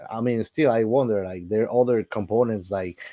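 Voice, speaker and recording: A man hosting a casual movie discussion, haltingly thinking aloud and repeating words as he goes. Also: on the low side, mellow. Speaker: podcast host.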